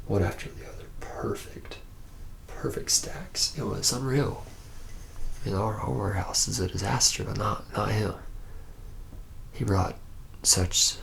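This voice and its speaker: A very creaky, low-pitched young male vampire, acted out by a man in an ASMR roleplay. He confides his tale reluctantly in a soft half-whisper. He sounds uneasy and rambles, hesitating as he goes. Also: full character voice. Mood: uneasy, marveling. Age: young adult.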